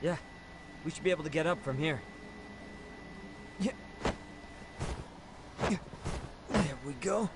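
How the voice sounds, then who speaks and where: A man's voice: on the high side, slow pace, pauses often. A man playing a detective's loyal, agile younger sidekick in a video game, alert, cooperative and plain-spoken. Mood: alert, eager. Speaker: video game companion character. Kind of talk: English game dub acting. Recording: noisy game ambience behind voice.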